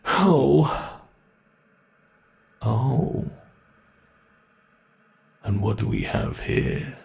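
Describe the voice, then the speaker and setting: A sadistic monster from under the bed, voiced by a British man, speaking in a gravelly, unhurried drawl. He lingers over every word, mocking and menacing his captive with slow relish. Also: medium pitch, animated, darkish tone. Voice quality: gravelly, slow, drawn-out delivery. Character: cruel male monster under the bed, toying with prey. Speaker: ASMR roleplay performer. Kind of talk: ASMR horror roleplay.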